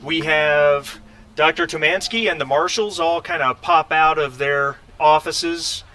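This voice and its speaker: A man narrating a tabletop role-playing game as game master, halting and conversational as he searches for words. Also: a little high, fairly even intonation, bright, forceful tone, a little hiss or hum.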